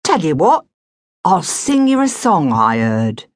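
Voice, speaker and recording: A woman voicing a cheerful poor young London girl, bright and sing-song. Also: sits low, big pitch swings, slow pace, no echo, studio quality, full character voice.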